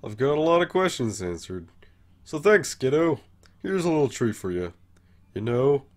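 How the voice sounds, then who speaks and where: A man's voice: medium pitch, sing-song, normal speed, darkish tone, close to an everyday voice. A man voicing a laid-back skeleton joker with a hidden menace, talking in a casual, wry drawl in a cartoon comic dub. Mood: bitter, wry.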